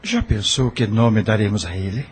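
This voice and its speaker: An actor in an audio drama playing a devoted, emotional husband with a husky, breathy voice and a slow, heartfelt delivery. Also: male voice, on the low side, expressive. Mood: tender.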